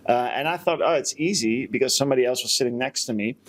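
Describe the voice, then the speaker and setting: A man's voice: medium pitch, fast, rough recording. Rambling male podcaster. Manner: rambling, self-correcting. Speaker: podcast co-host. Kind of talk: casual chat, personal storytelling.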